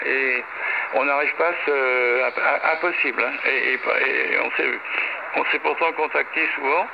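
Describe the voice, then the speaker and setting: Noisy radio link, gravelly high-voiced older man. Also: muffled recording. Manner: rambling, repeats himself, frequent tag words. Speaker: amateur radio operator. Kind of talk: casual ham radio chat with call signs. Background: radio noise.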